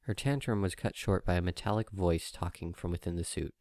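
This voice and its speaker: A man's voice: deep voice, brisk, a little mellow, no room sound, clean studio sound. A man reading a story aloud as an audiobook narrator, in scripted storytelling style.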